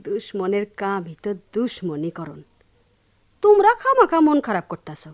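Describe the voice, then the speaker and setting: A woman's voice: medium pitch, wildly swooping pitch, moderate pace. An actress playing an unhinged, brooding village woman in a radio drama, rambling and repeating herself in a rural Bangladeshi Bengali accent. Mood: bitter triumph. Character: unhinged, brooding village woman gloating over old enmity.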